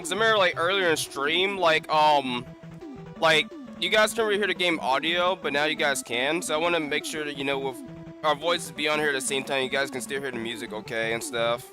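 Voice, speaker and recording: A man's voice: fairly high pitch, pushed and bright. A man doing casual live-stream commentary over gameplay, rambling with lots of fillers and restarts. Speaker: gaming streamer.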